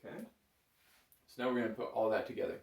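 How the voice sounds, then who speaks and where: A man with a low voice teaches music informally in a roomy, echoing space. He explains each step conversationally, in an encouraging and modest manner. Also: quick pace, creaky, darkish tone. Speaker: bagpipe instructor. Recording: roomy, echoing indoor sound.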